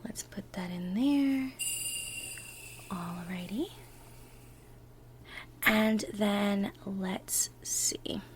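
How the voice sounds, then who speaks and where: A woman's voice: medium pitch, extremely slow, long pauses, a little hiss or hum. A woman playing an affectionate, playfully bossy girlfriend in a one-sided ASMR roleplay, chatting and thinking aloud as she bakes. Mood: focused, soft. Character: bubbly, bossy, affectionate young girlfriend baking for Thanksgiving. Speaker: roleplay audio performer.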